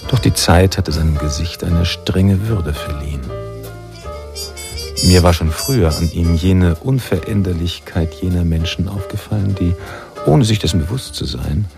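Noir radio-play narrator, low voice, gravelly fry, reflective, literary, measured, nostalgic. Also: male voice. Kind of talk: scripted radio drama narration. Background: jazz music.

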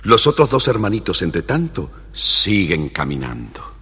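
Man, children's story narrator, deep voice, animated intonation, expressive, reverberant old recording, faint noise. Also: music in the background. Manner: expressive, deliberate storyteller delivery.

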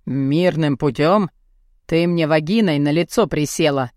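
A woman voicing a gruff, burly bearded man in an audiobook, brazen and lecherous, with a blunt, mocking way of talking. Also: deep voice, unhurried, a little mellow, dry recording, clean studio sound, pitched below the performer's own voice. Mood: angry, demanding. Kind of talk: audiobook character dialogue.

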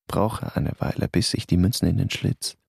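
A man narrating a first-person radio drama in a quiet, inward, understated way. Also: low voice, steady tone, normal speed, creaky, background noise. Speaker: narrator and lead in a radio play.

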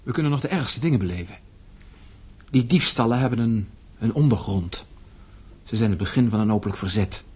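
An actor in a radio play playing a serious, dutiful young son and heir, earnest and blunt. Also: male voice, lowish voice, fairly quick, darkish tone, slightly rough. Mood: serious, foreboding. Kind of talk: Dutch radio play acting.

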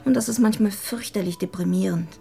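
An actress playing a shy, frank twenty-year-old would-be writer, naive and earnest, in a radio drama. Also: female voice, medium pitch, fairly quick, creaky, dark tone, soft background music, lightly acted. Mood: candid.

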